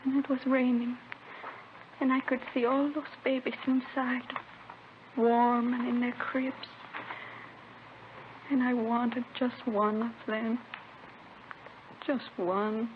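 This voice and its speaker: An actress playing a distraught, grieving young mother making a halting confession in a 1950s radio drama. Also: fairly high pitch, little pitch movement. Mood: grieving, haunted. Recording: tinny, noisy vintage radio recording.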